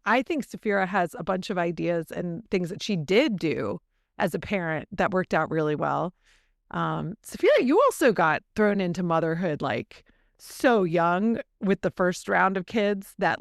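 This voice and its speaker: A woman with a cold and a deep, throaty voice, chatting casually as a podcast co-host and mother. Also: gravelly, no room sound, clean studio sound. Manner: chatty, rambling, filler-heavy. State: husky from a cold. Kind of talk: casual chat.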